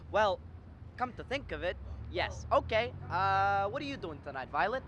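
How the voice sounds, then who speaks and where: A young actor playing a restless, kind-hearted man in a radio drama, halting and wry in delivery. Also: medium pitch, very animated, a bit bright, lo-fi sound. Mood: restless, dreamy. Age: young adult. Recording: faint background noise.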